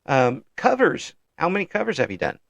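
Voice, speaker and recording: An older man hosting an interview in an easygoing chat, often pausing with ums and restarting his sentences. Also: fairly high pitch, wildly swooping pitch, vocal fry, no room sound, lo-fi sound. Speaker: interview host.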